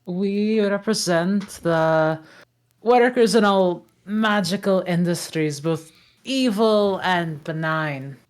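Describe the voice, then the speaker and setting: A woman playing a blunt, sly male ogre in a comic table read, talking in a deliberate, wry way. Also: fairly low pitch. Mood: sly, bluffing. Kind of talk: comic table read of an animated film script.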